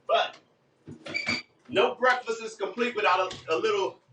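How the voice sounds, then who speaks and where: A man's voice: high-pitched, measured pace, background noise. Chatty man in an echoey kitchen. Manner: chatty, laughs often, breaks into playful singing. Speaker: guest live streamer and singer. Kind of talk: casual live-stream chat while cooking. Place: home kitchen. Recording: echoey room, voice far from mic.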